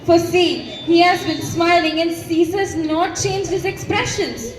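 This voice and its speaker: A teenage girl with an Indian accent playing a steady, watchful Roman conspirator in a school stage play. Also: very high voice, measured pace. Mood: calm, watchful. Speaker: student actor. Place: school stage, distant microphone. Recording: echoey, distant stage with clear noise.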